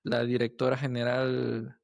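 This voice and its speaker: A man hosting a podcast interview, asking casual questions in halting, tentative phrasing. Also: medium pitch, monotone, creaky, dry recording.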